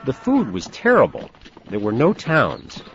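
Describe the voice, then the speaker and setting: An actor playing an easygoing rancher who tells stories about the Old West in a scripted language-course dialogue. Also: male voice, mid-range voice, huge pitch swings, measured pace, fairly bright tone, slight background noise. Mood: storytelling. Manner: easygoing, storytelling. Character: friendly older male rancher recounting Old West history. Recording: dull lo-fi course audio.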